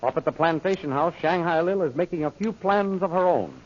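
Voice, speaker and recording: A man's voice: on the high side, a little fast. A man announcing and narrating a 1930s radio serial in a formal, dramatic style. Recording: muffled old-time radio recording. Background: music.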